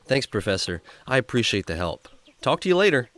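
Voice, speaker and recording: Upbeat male explorer-host acted by a man, children's audio drama; showman-like, very expressive. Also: medium pitch, brisk. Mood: friendly. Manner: showman-like, friendly, emphatic. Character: upbeat jungle explorer man hosting a kids' story show. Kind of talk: kids' radio drama acting.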